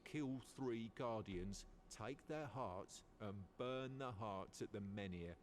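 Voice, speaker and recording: Game guide creature: smug, evasive, theatrical explainer, lowish voice, faint background noise. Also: male voice, takes it slowly. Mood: patronizing, conspiratorial. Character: sly, chatty male guide with hooves, smugly evasive. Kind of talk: video game voice acting.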